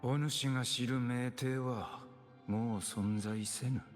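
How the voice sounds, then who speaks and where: An actor playing a solemn, stern video game warrior who speaks in formal, old-fashioned wording. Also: male voice, mid-range voice, fairly even intonation, moderate pace, close to an everyday voice. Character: solemn, archaic-speaking male warrior on a deadly mission. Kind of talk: Japanese video game voice acting. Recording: reverberant game audio with music.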